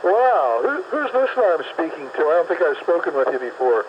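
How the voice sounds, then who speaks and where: A man's voice: on the high side, very animated, a little fast. A man chatting over CB radio from his vehicle while delivering papers, friendly and talkative, giving his location. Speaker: CB radio operator driving a paper route. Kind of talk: casual CB radio chat. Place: moving vehicle on a country road. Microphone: CB radio handset. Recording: noisy lo-fi CB radio transmission.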